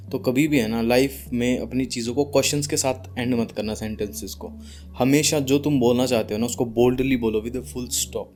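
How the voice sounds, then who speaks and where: Deep-voiced calm Indian man, music behind. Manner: calm, unforced, deliberate pauses; mixes English words into speech. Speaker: speaking coach. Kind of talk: informal advice talk to camera.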